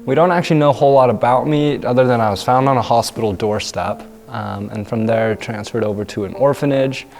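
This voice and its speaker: A young man in his twenties, born in northern China, speaking softly and reflectively about his adoption. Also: mid-range voice, brisk, vocal fry, hardly any pauses, soft background music. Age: 20s. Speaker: interviewee. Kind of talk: personal testimony.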